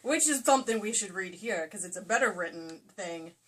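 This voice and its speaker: A woman's voice: mid-range voice, animated, brisk. A woman giving a comedic dramatic reading of a novel, jokey and prone to breaking off into casual asides. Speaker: co-host reading a romance novel aloud. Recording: faint background noise, amateur home video.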